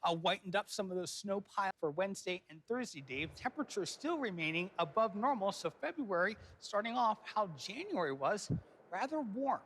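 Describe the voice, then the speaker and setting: A man's voice: high-pitched, expressive, normal speed, fairly bright tone, slightly rough, rough recording. An American man working as a TV weathercaster, chatting casually and agreeably on air.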